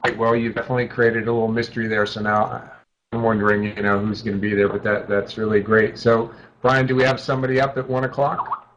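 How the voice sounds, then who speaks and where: A man hosting a casual radio interview, asking relaxed, easygoing questions. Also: lowish voice, fast talker, lo-fi sound, noisy background. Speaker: radio host.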